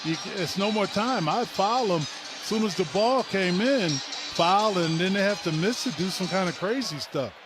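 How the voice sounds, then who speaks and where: A man's voice: high voice, expressive, moderate pace, music in the background. A man giving casual, opinionated color commentary on a live basketball radio broadcast. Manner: informal, opinionated interjections. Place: large indoor basketball arena. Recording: noisy arena broadcast. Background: loud arena noise, bouncing basketball.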